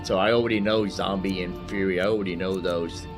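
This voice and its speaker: A man's voice: deep voice, fairly even intonation, fairly quick. A man hosting a gaming video, giving casual, rambling commentary as he thinks aloud while playing. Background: game music.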